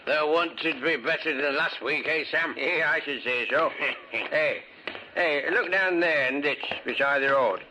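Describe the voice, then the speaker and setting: A man's voice: on the high side, unhurried, natural voice. An actor in an old radio drama playing a hearty working man, colloquial, exclamatory and easily startled. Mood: jovial, curious. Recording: faintly noisy old radio.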